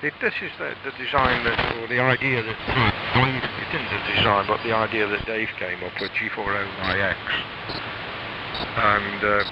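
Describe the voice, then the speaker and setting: A gravelly male radio operator chats casually over an AM shortwave link. He talks in a halting, technical ramble. The signal is dull and very noisy. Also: fairly low pitch, steady tone. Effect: radio transmission. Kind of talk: casual ham radio chat. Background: static noise.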